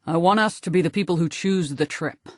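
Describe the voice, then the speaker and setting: A woman's voice: lowish voice, fairly quick, dry recording, clean studio sound, close to an everyday voice. A woman voicing an opinionated, earnest man who theorizes dreamily in an audiobook. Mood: earnest, urging. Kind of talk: audiobook character reading.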